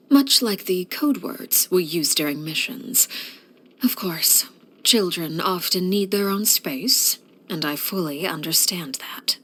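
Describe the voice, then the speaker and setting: A woman voicing a video game character, a cold, composed female leader who speaks in a measured, formal, restrained and quietly menacing way. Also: fairly low pitch.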